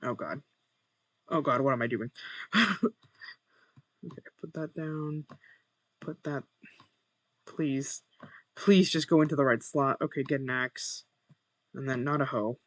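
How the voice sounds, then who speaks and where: A young man with a monotone voice, chatting casually while playing a game and muttering to himself over his first recording. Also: medium pitch, takes it slowly, pauses often, no room sound. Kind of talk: casual gameplay commentary.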